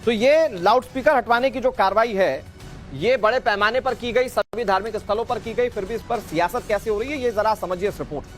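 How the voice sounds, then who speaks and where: Guy anchoring tv news, high voice, faint music under him. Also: fairly quick. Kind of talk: TV news presentation and debate moderation.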